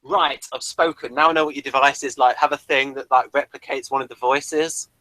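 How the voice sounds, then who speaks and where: A man hosting a casual review chat, talking in a halting way and repeating words as he goes. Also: mid-range voice, steady tone, sharp tone, no room sound, poor audio. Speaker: host of a TV review show.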